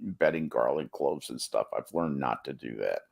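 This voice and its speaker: A man's voice: very deep voice, normal speed, vocal fry, darkish tone. A man in casual panel chat, agreeable and often repeating his own phrases as he talks.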